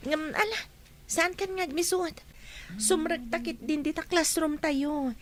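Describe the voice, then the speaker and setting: A kind teenage schoolgirl with an Ilocano accent in a radio drama. Her voice is high and light. She coaxes and gently chides her friend. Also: a little slow. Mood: gentle reproof. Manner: gently reproving, coaxing.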